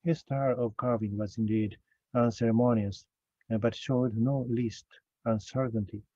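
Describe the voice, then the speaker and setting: Man, careful halting lecture. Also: mid-range voice, fairly even intonation, mellow, no room sound, dull audio. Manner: slow, careful, with frequent hesitations and self-corrections. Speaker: academic speaker. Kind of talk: recorded conference lecture.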